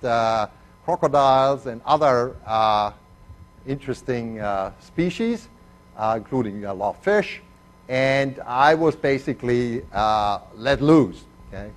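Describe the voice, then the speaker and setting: A man's voice: mid-range voice, animated. Older male lecturer with a foreign accent. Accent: mixed foreign accent, Spanish first language. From Argentina. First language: Spanish. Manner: digressive and self-deprecating, with frequent "uh" pauses. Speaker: chemistry lecturer. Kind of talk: university lecture.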